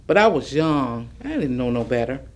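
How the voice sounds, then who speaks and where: A man's voice: mid-range voice, sing-song, moderate pace, natural voice. A man performing a plain-spoken boarding-house worker's memories in a staged reading. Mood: matter-of-fact. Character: plain-spoken working woman recalling hard boarding-house chores. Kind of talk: staged monologue of oral history recollections.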